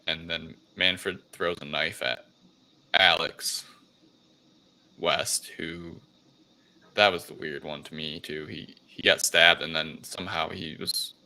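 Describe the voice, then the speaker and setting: A man's voice: very deep voice, fairly even intonation, slow, vocal fry, sharp tone, low-quality recording. A man co-hosting a podcast, recapping a movie in casual chat. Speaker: podcast co-host.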